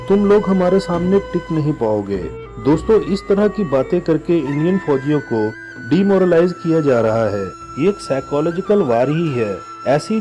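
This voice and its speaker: A man's voice: a little high, warm tone. A man with a Pakistani accent delivering scripted news commentary as a channel host, emphatically addressing his viewers. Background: music bed.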